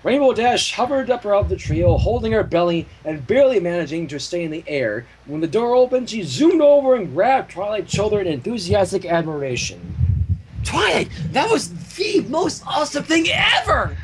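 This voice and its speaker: Male fan fiction narrator, higher-pitched and animated, occasional stumbles, noisy home recording. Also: brisk, hardly any pauses. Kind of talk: expressive story narration.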